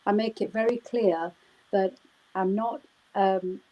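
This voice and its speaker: A woman's voice: mid-range voice, steady tone, takes it slowly, mellow, rough recording. A woman celebrant answering interview questions, explaining at length with frequent "um" and "you know" fillers. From United Kingdom. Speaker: wedding celebrant. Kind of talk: live chat interview.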